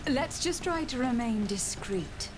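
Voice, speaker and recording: A woman's voice: a little high, lively intonation, measured pace. Composed diplomatic noblewoman, noisy backdrop. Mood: courteous. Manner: formal, courteous, firm. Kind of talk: video game voice acting. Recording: clear background noise from game ambience.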